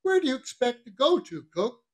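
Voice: high-pitched, very animated, moderate pace. A reader voicing a bossy, mocking whaling-ship officer in an audiobook, questioning with teasing mock solemnity. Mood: bossy, scolding. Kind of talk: literary audiobook character reading.

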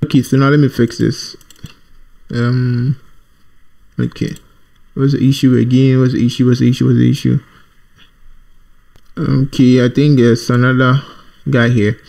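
A man's voice: mid-range voice, little pitch movement, vocal fry, mellow. Man thinking aloud through a coding tutorial. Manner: thinking aloud while typing, halting, repeats himself. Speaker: programming tutorial presenter. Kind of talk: informal screencast coding tutorial.

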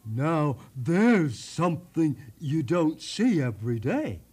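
Man putting on a husky, stammering, blustery young prince. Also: on the high side, very animated, full character voice. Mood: blustery, pondering. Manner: loud, stammering, halting exclamations. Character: bumbling, blustery young storybook prince, easily amazed.

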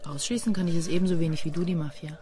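An actress playing a cool, quick-witted policewoman with a dry, sardonic manner in a radio crime drama. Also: lowish voice, steady tone, over music, lightly acted. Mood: wry, brisk.

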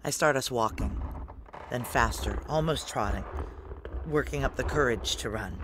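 An actress playing a fierce, grieving narrator in a sci-fi audio drama, low-voiced, deliberate and intense. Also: female voice, noisy background. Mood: building, exultant. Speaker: lead actor and narrator.

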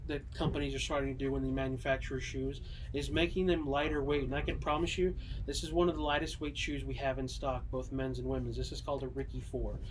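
A young man casually showing bowling shoes in a small pro shop, relaxed and full of ums and uhs, heard from a camera a few meters away. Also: fairly high pitch, slight background noise, poor audio. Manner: easygoing, many ums and uhs, showing items in hand. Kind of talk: casual product walkthrough. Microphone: camera mic at a few meters.

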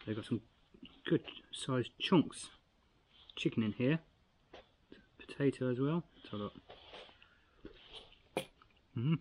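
A man's voice: mid-range voice, slow pace, pauses often, slight background noise. An older man from Australia, chatting casually as he cooks and tastes camp food at a picnic table in the bush, recorded by a camera across the table. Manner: chatty and relaxed, talks while eating. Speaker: outdoor vlogger. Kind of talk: casual camp-cooking review. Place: outdoors in bushland at a picnic table.

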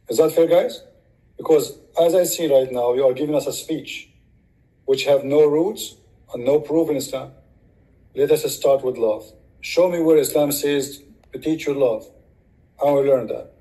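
Blunt, mellow-voiced debate host. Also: male voice, fairly high pitch, rough recording. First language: Arabic. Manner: blunt, challenging, sarcastic. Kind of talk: live online debate.

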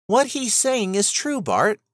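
A man's voice: sits high, very animated, slow, no room sound, clean studio sound, voice pushed up, exaggerated voice. A man voicing an earnest female rabbit, emphatic and admonishing, in a children's storybook. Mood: scolding.

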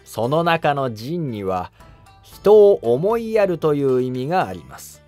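An actor playing a humble, cautious monk who advises an emperor in formal, deferential court speech in a historical drama. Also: male voice, fairly high pitch, big pitch swings, measured pace, a little mellow, faint music behind. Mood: calm, advising. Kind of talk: Japanese historical drama dialogue.

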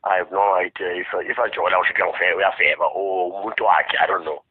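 South African male, husky and fairly low, chatting casually on a tinny phone call. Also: little pitch movement, racing. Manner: code-switches between English and Zulu. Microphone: telephone line.

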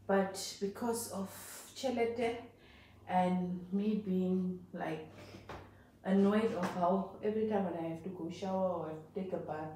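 A Namibian woman chats to her viewers in a loose, rambling way as she works around the house. She sits at a middle pitch and is picked up from across the room by the camera. The sound bounces hard around the bathroom, with a faint hiss underneath. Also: steady tone, a little slow, dark tone. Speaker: vlogger. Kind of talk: casual home vlog chat. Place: tiled bathroom at home. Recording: distant, very echoey home room.

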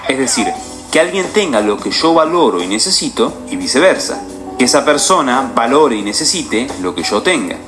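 A man in his forties narrates a scripted explainer at a middle pitch, his voice rising and falling widely as he poses questions. The delivery is lively and questioning. A clear music bed runs beneath him. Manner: animated, questioning delivery with wide pitch swings. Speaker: video essay presenter. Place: indoor studio with painted wall.

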